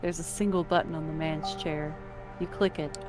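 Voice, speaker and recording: A woman narrates an interactive story in the second person, reading from a script. Her voice sits a little low for a woman, and music plays clearly underneath. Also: steady tone, normal speed.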